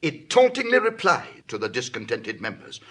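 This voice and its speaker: Husky, wily Roman patrician. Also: male voice, mid-range voice, wildly swooping pitch, a bit bright. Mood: sly storytelling. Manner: theatrical, teasing, drawn-out delivery. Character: genial, wily Roman patrician telling a fable. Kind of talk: classical Shakespearean stage acting.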